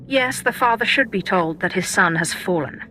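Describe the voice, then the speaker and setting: A cool, confident Sith warrior speaks tersely in a bright, sharp voice. Her lines are clipped and slightly dry, with faint noise behind them. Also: medium pitch, animated, moderate pace, low-quality recording. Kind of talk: video game voice acting.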